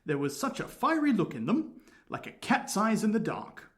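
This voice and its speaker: Podcast host doing a spooked english gentleman witness, posh accent attempt, dramatic ghost story telling. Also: medium pitch, huge pitch swings, normal speed, voice pushed up. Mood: haunted, dramatic. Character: frightened English man giving dramatic witness account.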